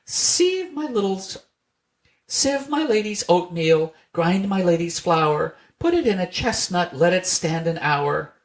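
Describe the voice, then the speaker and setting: A tiny, merry storybook mouse, acted out by an adult narrator, pitched far up into a small twittering voice. The delivery is singsong and cheeky, like nursery rhymes being chanted. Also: sing-song, unhurried. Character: chorus of tiny merry, cheeky little mice singing rhymes.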